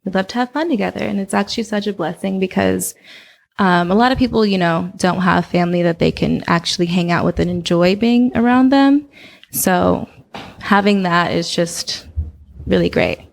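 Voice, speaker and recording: A young woman from Oakland with a low voice, chatting casually as a podcast guest with lots of fillers. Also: moderate pace, vocal fry. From Oakland, California. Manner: relaxed, chatty, with frequent fillers. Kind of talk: casual interview chat.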